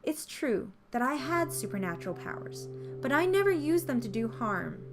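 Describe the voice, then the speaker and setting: A woman's voice: high voice, sing-song, moderate pace, pitched above the performer's own voice. A woman voicing a hot-tempered, proud young witch girl who is secretly shy and kind, in a visual novel read aloud, with music. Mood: sad, defensive. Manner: indignant, arrogant, easily flustered.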